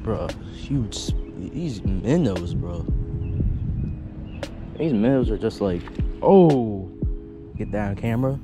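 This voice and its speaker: A man's voice: fairly low pitch, animated, takes it slowly, pauses often. A young man casually talking viewers through a day of pond fishing, filmed on a head-mounted action camera outdoors. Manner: laid-back, talks to the fish and viewers. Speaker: fishing vlogger. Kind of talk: casual first-person fishing commentary. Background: background music.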